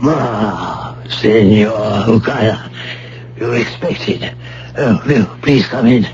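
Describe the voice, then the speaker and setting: An actor playing a politely threatening kidnapper with an unhurried, mockingly courteous manner in an old-time radio drama. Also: male voice, fairly low pitch, a little mellow. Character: smooth, menacing kidnapper, politely threatening villain. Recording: muffled, noisy vintage radio broadcast.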